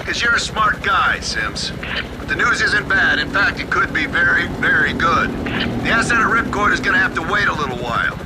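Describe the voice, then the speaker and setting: An actor playing a tough, cool-headed covert operative in a military video game, with a commanding, clipped delivery. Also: male voice, high-pitched, animated, normal speed, heavy background noise. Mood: cool, wry. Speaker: squad leader.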